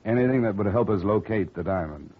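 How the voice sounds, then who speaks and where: A man's voice: lowish voice, fairly quick, a little hiss or hum, natural voice. A man playing a wry insurance investigator and narrator in a 1950 radio drama, laconic with dry wit. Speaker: narrator and lead detective. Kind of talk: 1940s radio acting. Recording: dull 1950 radio recording with music.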